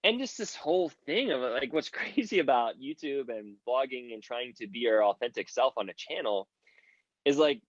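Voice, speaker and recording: A man's voice: medium pitch, expressive, normal speed, no room sound. A man talks through advice in an earnest, rambling way, leaning on "like" as a filler. The sound is dry and slightly dull. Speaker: guest creator giving advice. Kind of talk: casual chat, audio room.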